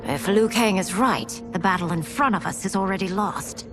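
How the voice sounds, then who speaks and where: A woman's voice: medium pitch, expressive, moderate pace, music in the background, natural voice. An actress playing a determined warrior woman in a fantasy game, serious and urgent. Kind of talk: dramatic video game acting.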